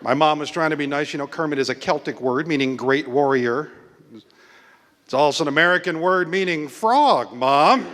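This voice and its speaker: Stand-up comedian from Hawaii, deadpan wry delivery, somewhat high voice, long hall reverb, crowd noise behind. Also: male voice, moderate pace. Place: comedy club stage. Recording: live club stage with long reverb and audible crowd.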